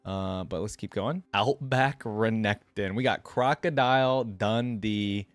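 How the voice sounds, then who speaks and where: A man's voice: on the low side, slow pace, no room sound. A man giving casual, informal reaction commentary as the host of a gaming channel, thinking aloud as he goes.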